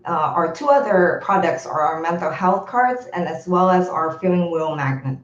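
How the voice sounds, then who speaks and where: A woman from Indonesia whose first language is Indonesian, giving a steady, well-rehearsed startup pitch over a video call. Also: normal speed, echoey room, highs cut off.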